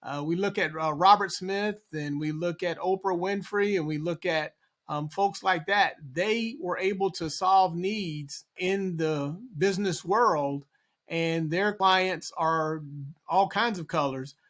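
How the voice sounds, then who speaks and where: A middle-aged man from eastern North Carolina, a business consultant speaking as an interview guest in a measured way, with frequent fillers. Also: high-pitched, a bit bright. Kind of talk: conversational interview.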